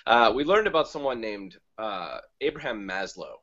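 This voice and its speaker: A man chats on a video call, joking and self-deprecating as he pitches his talk. He sounds a bit groggy, with a lively voice that swings up and down. The call audio is dull and lo-fi. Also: normal speed. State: groggy, just woke up. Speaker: event speaker. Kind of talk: casual video-call chat.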